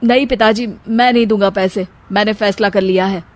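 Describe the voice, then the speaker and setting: A woman's voice: mid-range voice, steady tone, brisk, close to an everyday voice. A woman voicing a stubborn grown son from a village in a cartoon story, firm and defiant. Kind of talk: cartoon moral-story acting.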